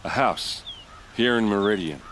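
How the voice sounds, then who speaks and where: A man playing a grieving, hard-drinking guard captain in a video game, with a halting, weary delivery. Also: lowish voice, a bit bright, a little hiss or hum. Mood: resigned.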